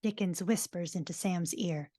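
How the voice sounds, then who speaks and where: A woman's voice: lowish voice, normal speed, mellow. A woman giving scripted narration of stage directions in a live group reading on a video call.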